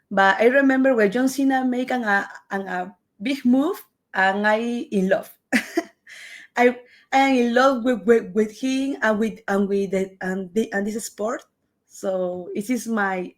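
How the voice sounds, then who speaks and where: Slow, halting Peruvian woman in her twenties. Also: medium pitch. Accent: Peruvian Spanish. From Peru. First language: Spanish. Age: 20s. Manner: halting, searching for words, laughs often. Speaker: wrestler guest. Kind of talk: interview answers.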